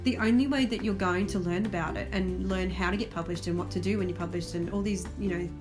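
A woman's voice: fairly low pitch, steady tone, quick pace, music in the background. A woman co-hosting a podcast, talking in a casual, conversational way. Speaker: podcast co-host. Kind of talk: casual chat.

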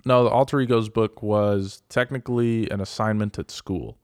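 An American man chatting casually as a podcast co-host, with a deadpan, self-mocking manner. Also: on the low side, moderate pace, clean tone, no echo, studio quality. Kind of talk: casual banter.

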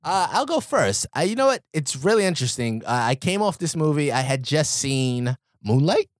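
A man's voice: fairly high pitch, big pitch swings, moderate pace, dry recording, clean studio sound. A man giving his easygoing, agreeable take in a casual film-review podcast. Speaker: podcast co-host.

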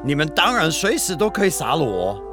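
An actor playing an earnest Dutch missionary priest in a radio drama, speaking with English phrases mixed in, urgent and pleading. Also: male voice, fairly high pitch, big pitch swings, fairly quick. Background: music.

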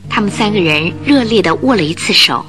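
A woman's voice: medium pitch, expressive, brisk, fairly bright tone, faint music behind. Female storyteller for Mandarin radio drama: medium register, scripted narration.

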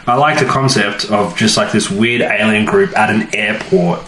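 A man's voice: lowish voice, fairly even intonation, moderate pace, sharp tone, reverberant, slight background noise. A man co-hosting a podcast with casual, joking banter and playful interjections. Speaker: podcast co-host.

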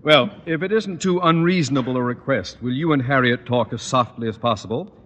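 A man's voice: mid-range voice, fairly quick, natural voice. An actor playing a pompous, irritable middle-aged father, blustery and wordy, in old radio comedy style. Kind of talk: 1940s-50s radio comedy acting. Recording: old radio recording, reverberant, faint noise.

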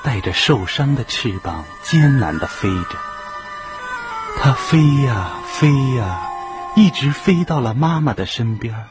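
Brief: man, slow and lively storybook narration, faint music under. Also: medium pitch. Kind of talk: scripted storybook narration for children.

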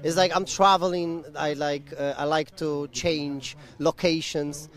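A man's voice: on the high side, level tone, a little slow, a bit bright. A man from Poland with a Polish accent, a co-host asking questions in loose, filler-heavy casual English. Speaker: podcast co-host. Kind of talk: casual interview chat. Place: outdoor event lounge.

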